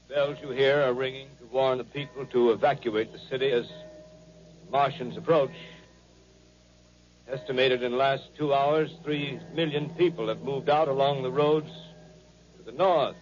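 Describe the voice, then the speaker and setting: An actor playing a male radio announcer reporting from a rooftop in a 1930s radio drama, grave and halting. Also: mid-range voice, natural voice. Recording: crackly lo-fi 1930s radio broadcast with hiss.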